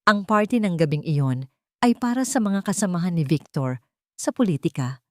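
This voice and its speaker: A woman's voice: fairly low pitch, animated, moderate pace, no room sound. A woman reading a scripted dramatic romance story as its narrator.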